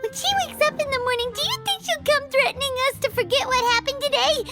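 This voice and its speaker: A woman voicing a tiny, chirpy, childlike fairy companion in a video game, with a squeaky, chatty delivery. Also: fast. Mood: curious, playful. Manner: chatty, speaks of self in third person. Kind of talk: animated video game acting. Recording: game music behind the voice.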